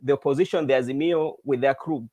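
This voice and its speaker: A man hosting an interview, asking questions in a measured way with frequent short acknowledgements. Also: medium pitch, warm tone, dry recording. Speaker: host, interviewer.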